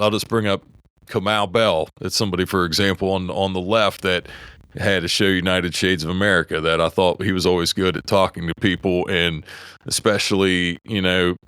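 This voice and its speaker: A low-voiced man with a West Virginia accent talks politics on a podcast, swearing freely and breaking off to restart his thoughts. His voice is clean and smooth, recorded close on a dry mic with no room sound. He sounds middle-aged and argues hard. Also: a bit bright, dry recording. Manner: heated, profane, halting with restarts. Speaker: podcast co-host. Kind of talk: casual opinionated chat.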